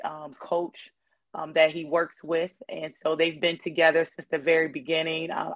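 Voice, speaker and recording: A hoarse woman speaking as a guest in a casual interview, measured and pausing often with ums. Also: deep voice, dry recording. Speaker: guest, mother of a player. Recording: dull lo-fi sound.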